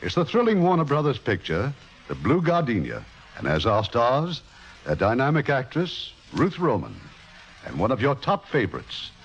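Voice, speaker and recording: A man's voice: mid-range voice, wildly swooping pitch, moderate pace, husky. A man hosting a 1950s radio show with scripted banter and a showmanlike, theatrical delivery. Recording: dull old radio recording.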